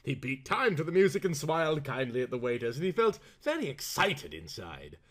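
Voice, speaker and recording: A man reading a storybook aloud in a theatrical, expressive way. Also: medium pitch, fairly quick. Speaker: audiobook reader. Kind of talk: dramatized storybook narration.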